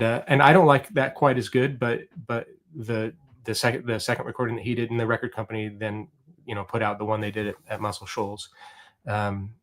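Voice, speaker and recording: Rambling middle-aged male livestream host. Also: medium pitch. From Oregon. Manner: rambling, many ums and restarts. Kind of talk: casual chat reading viewer comments.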